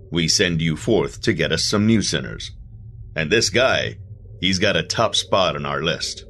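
A man voicing a cool afterlife agent, very deep. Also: fairly bright tone, a little hiss or hum. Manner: cool, matter-of-fact, faintly mocking.

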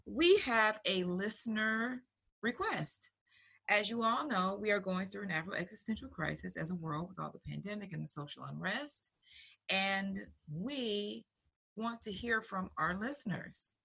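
Female podcast co-host, chatty presenter, expressive pitch, raspy edge. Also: a little slow, poor audio. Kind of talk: podcast hosting, chatty sign-off.

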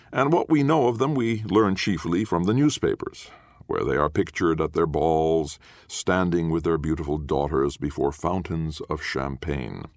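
A man reading literary fiction as an audiobook narrator, in a measured, solemn storytelling manner. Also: a bass voice, no echo, studio quality.

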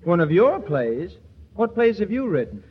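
A man's voice: sits high, big pitch swings, normal speed, husky, full character voice. A man playing the easygoing, wisecracking lead of a 1940s radio comedy. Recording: noisy, dull old radio recording.

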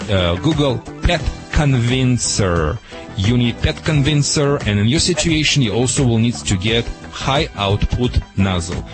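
A man's voice: mid-range voice, animated, a little slow, over music. A man giving dog training advice to a radio caller, in broken, emphatic English.

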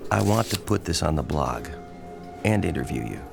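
An actor playing a smooth-talking, pushy but reassuring male journalist in an audio drama, casual and persuasive. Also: sits low, sing-song, moderate pace, full character voice. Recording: music under the dialogue.

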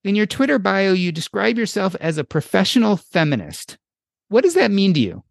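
A middle-aged man hosting a podcast, reading a prepared intro in measured, emphatic phrases before asking his questions. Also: sits high, wildly swooping pitch, vocal fry, dry recording, studio quality. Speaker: podcast host. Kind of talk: scripted intro then interview questions.

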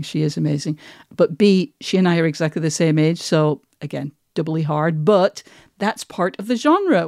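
A middle-aged woman hosting a podcast, speaking conversationally in thoughtful, articulate, measured phrases. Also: sits low, warm tone, no room sound, studio quality. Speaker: podcast host.

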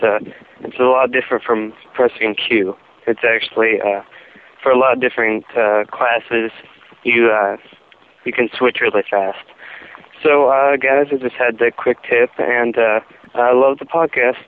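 A man leaves a voicemail over a tinny phone line, stumbling through his tip with constant fillers. His voice sits at an ordinary pitch. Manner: halting, many "uh" fillers. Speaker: caller.

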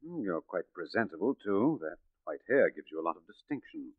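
An actor playing a genteel, condescending swindler who poses as a philanthropist in a 1940s radio drama. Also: male voice, deep voice, sing-song, a little fast, no room sound. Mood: condescending, probing. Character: smooth, condescending gentleman swindler posing as philanthropist. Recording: tinny, muffled 1940s radio recording.

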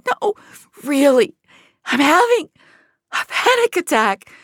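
A woman's voice: sits high, big pitch swings, slow pace, fairly bright tone, no echo, clean studio sound, pitched above the performer's own voice, exaggerated voice. A woman performing an anguished, defiant female agent on the run in an emphatic, emotional audio fiction style. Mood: panicked, gasping.

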